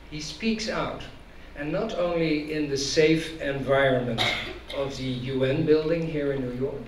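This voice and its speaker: A man giving a deliberate, emphatic introduction speech at a public event as an advocacy director. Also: on the high side. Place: large indoor event venue with stage. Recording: echoey hall from far off, noisy.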